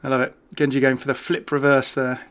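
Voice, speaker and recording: A man's voice: medium pitch, level tone, brisk, a bit bright, clean tone. A man giving casual, off-the-cuff game commentary as a YouTuber, chatty and jokey, rambling from one remark to the next. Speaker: gaming YouTuber.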